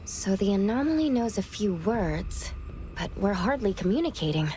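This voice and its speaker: A woman's voice: medium pitch, big pitch swings, normal speed, mellow, slight background noise, close to an everyday voice. A young woman voicing a composed, observant investigator in a matter-of-fact way for a video game. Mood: curious, composed.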